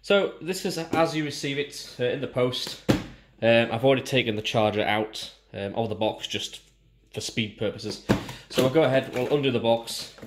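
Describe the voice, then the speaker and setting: A man with a British accent presents a casual unboxing review. He talks informally, explaining each part as he goes. His pitch sits in an ordinary middle male range. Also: normal speed, background noise. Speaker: product reviewer.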